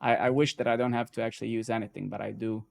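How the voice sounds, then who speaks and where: Swearing, exasperated live-coding streamer. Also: male voice, fairly low pitch, steady tone, fast talker, gravelly. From Serbia. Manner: frustrated, swears freely, many filler "right?" and "man". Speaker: stream host.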